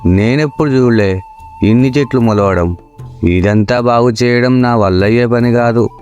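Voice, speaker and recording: A lazy young village layabout, acted by a man, in a comic moral tale. He is flippant and mock-dramatic, tossing out wisecracks at a middling pitch, with music underneath. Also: normal speed, a little mellow, lightly acted. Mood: exasperated, clowning. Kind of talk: comic Telugu moral-story voice acting.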